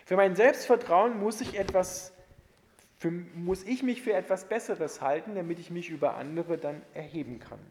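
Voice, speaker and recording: A man delivering a sermon as a preacher, in an emphatic, rhetorical manner that swings between forceful and hushed. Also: sits high, normal speed. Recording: long hall reverb.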